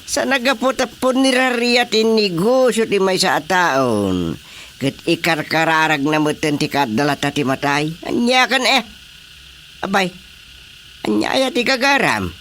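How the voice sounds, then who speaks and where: A man's voice: sits high, lively intonation, unhurried, bright, forceful tone, slight background noise, exaggerated voice. An actor playing a blustery, scolding village chairman in a comedy radio drama, emphatic, with an Ilocano Filipino accent. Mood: exasperated, scolding.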